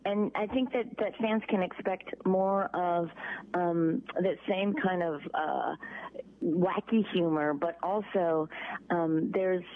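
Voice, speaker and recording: A middle-aged woman with a Chicago accent, chatting as an interview guest, halting and restarting often. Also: on the low side, fairly even intonation. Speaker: voice actress guest. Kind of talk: casual interview by phone. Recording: tinny phone line.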